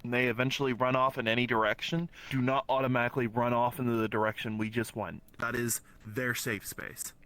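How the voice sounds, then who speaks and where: A man's voice: mid-range voice, level tone, brisk, few pauses, fairly bright tone. A man chatting casually in an improvised online roleplay, narrating his character's actions. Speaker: roleplay player. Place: online VRChat session. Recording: faintly noisy voice-chat microphone.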